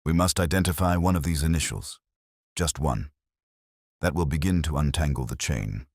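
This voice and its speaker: A man voicing an intense, precise detective in an audio story, speaking in a deliberate, commanding, didactic way. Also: rumbling low, no room sound, studio quality. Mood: urgent, deducing.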